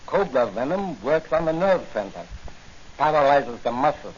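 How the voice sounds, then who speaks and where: An actor playing a science professor in 1940s radio style, formal and precise, with an authoritative, emphatic delivery. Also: male voice, medium pitch, big pitch swings, normal speed, husky. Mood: grave, explanatory. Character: excitable male marine-science professor, grave and authoritative. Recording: dull, noisy 1940s radio recording.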